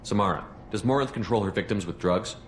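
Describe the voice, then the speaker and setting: Man playing steady military investigator, deep voice, terse and calm, businesslike, sci-fi game, faint noise. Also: fast talker, natural voice. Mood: calm, probing. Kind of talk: video game voice acting.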